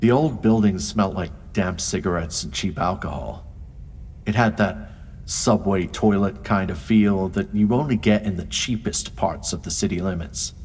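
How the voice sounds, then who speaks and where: Man playing a low-voiced, world-weary crime scene investigator. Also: normal speed, a little mellow, slight background noise, close to an everyday voice. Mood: brooding. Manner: world-weary, dry, sardonic. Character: weary, wry male crime scene investigator narrating a noir case. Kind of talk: noir audio drama narration and dialogue.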